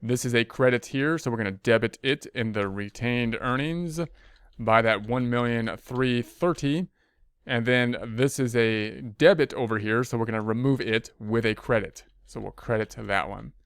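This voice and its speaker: Man teaching accounting, smooth dry voice. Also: medium pitch, normal speed, no room sound. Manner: thinking aloud, with frequent restarts and fillers. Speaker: accounting instructor. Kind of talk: screen-recorded spreadsheet lesson.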